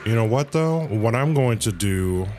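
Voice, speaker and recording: A man's voice: mid-range voice, lively intonation, a little slow. A man giving casual commentary as he livestreams a video game, reading some of its dialogue aloud. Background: video game music.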